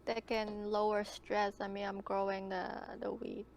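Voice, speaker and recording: A woman chatting casually and haltingly as a player in an online tabletop game. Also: medium pitch, little pitch movement, gravelly. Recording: noisy online voice chat.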